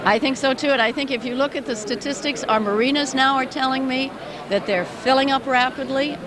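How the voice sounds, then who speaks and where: An older woman promoting regional tourism, speaking with upbeat enthusiasm in a loud voice during an interview at a business expo. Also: high-pitched, fairly quick, a crowd in the background. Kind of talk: casual interview. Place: busy indoor business expo.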